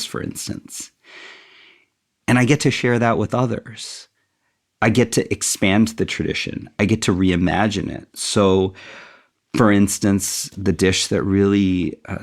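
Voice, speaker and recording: A man's voice: deep voice, vocal fry. A middle-aged man speaking as an interview guest, thoughtful and candid with a self-deprecating touch. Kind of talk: reflective interview conversation.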